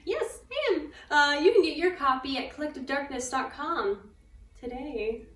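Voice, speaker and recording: A high-pitched woman chats as a co-host. She sounds set back from the mic in an echoey room, bantering casually. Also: animated, moderate pace, a bit bright. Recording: echoey room, distant mic, faint noise.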